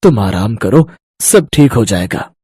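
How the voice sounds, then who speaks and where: A man's voice: lowish voice, sing-song, unhurried, darkish tone, husky, no room sound, clean studio sound, full character voice. A man voicing a doting village farmer father in a cartoon story, tender and earnest. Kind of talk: Hindi moral-story cartoon voice acting.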